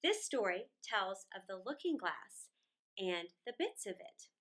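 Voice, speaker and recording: A middle-aged woman reading a children's story aloud in a theatrical, deliberate way, drawing out words with dramatic pauses. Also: medium pitch, sing-song, a bit bright. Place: small indoor room against a plain wall.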